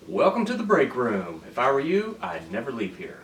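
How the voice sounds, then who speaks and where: A man's voice: mid-range voice, wildly swooping pitch, moderate pace, fairly bright tone. A man playing a mischievous, friendly henchman in his twenties, breezy and joking, in a comedy script read. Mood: teasing. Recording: echoey room, distant mic, faint noise.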